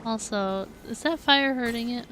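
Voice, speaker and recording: A woman's voice: a little high, normal speed, fairly bright tone, a little hiss or hum. A woman game streamer giving chatty, informal, self-deprecating live commentary as she plays. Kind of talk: casual live gameplay commentary.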